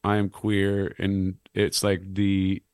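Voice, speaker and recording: A man in his thirties with a low, slightly raspy voice, chatting casually on a podcast as a guest, rambling and self-deprecating. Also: slow, no echo, clean studio sound. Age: 30s. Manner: rambling, self-deprecating, animated when joking. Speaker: clown and musician guest.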